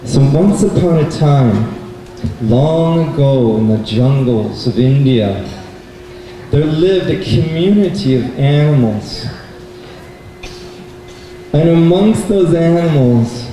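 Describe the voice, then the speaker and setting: A man presenting a children's puppet show, telling a story deliberately to a live audience and putting questions to the kids. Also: mid-range voice, animated, warm tone. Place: indoor bookstore event, live. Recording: echoey room, distant mic, faint noise.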